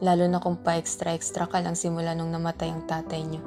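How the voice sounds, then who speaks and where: A woman's voice: on the low side, completely flat delivery, fairly quick, over music, natural voice. A woman playing a stern, emotional mother of three with a Filipino accent in an amateur radio drama. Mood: sorrowful, apologetic. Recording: background soundtrack under the voice.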